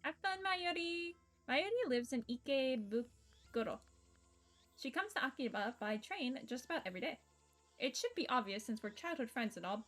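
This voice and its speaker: A young woman streamer narrating a visual novel aloud, reading casually and breaking off into laughs and swearing. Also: fairly high pitch.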